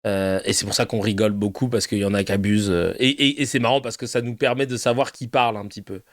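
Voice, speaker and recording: A man's voice: fairly low pitch, steady tone, fast, no echo, clean studio sound. A man hosting a casual live show, reacting to clips with laughter, swearing and mocking asides. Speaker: streamer host reacting to clips.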